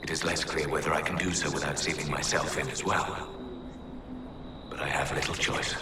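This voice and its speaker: Tired old elf hunter mage from a fantasy game, really low voice, formal and grave, noisy background. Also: male voice, fairly even intonation, moderate pace. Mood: solemn, resolute. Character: weary, solemn old elven hunter-mage, once an Inquisitor. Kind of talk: dramatic video game character acting.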